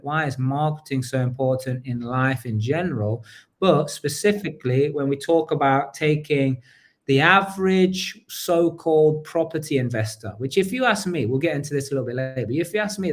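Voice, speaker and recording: A man's voice: mid-range voice, normal speed. A man hosting a live online talk show, talking energetically to viewers and his guest, often repeating himself. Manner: energetic, repeats himself, asks the audience to engage. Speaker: host.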